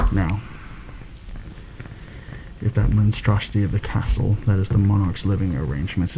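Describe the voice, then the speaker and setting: A man playing a shrewd, secretive mercenary planner in a soft-spoken ASMR fantasy roleplay, confiding and deliberate in a slightly formal way. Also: noisy background. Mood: explaining, serious. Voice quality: low, gravelly, soft-spoken. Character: shrewd, secretive male mercenary plotting a royal assassination.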